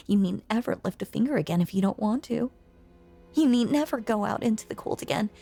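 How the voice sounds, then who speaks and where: A lonely ghost woman, acted by a voice actress, speaks in a breathy, creaky hush over music. Her phrasing is genteel and old-fashioned. She sounds sweetly possessive and quietly sinister, as in a spooky audio roleplay. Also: a little high, full character voice. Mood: possessive, insistent. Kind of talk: intimate scripted audio roleplay monologue.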